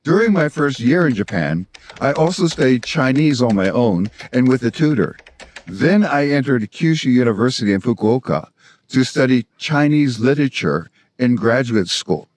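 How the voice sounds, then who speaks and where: A man in his sixties from South Florida with an American accent, presenting scripted language-learning instruction in a measured, explanatory way. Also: medium pitch, animated, a little mellow. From South Florida, United States. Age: 60s. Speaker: language-learning video host, polyglot. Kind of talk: scripted instructional narration to camera.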